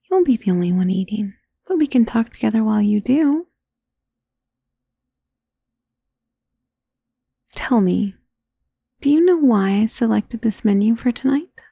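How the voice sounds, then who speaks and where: A woman playing an ancient, doting, genteel female vampire in an intimate ASMR roleplay, speaking softly and tenderly, often in a whisper. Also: a little high, lively intonation, no echo, full character voice. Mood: attentive, doting. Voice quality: soft, breathy, often dropping to a whisper. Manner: slow, tender, teasing, genteel endearments. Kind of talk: ASMR roleplay, soft intimate acting to the listener.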